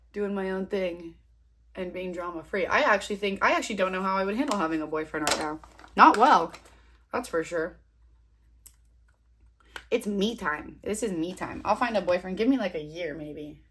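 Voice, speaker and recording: A woman's voice: mid-range voice, a bit bright. A young woman in her twenties talks to her camera in a loose, rambling way, with a bit of self-deprecating humor. She is fighting a cold, so she sounds a little sniffly. She chats at home while she does her makeup. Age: 20s. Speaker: vlogger. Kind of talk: casual chat to camera.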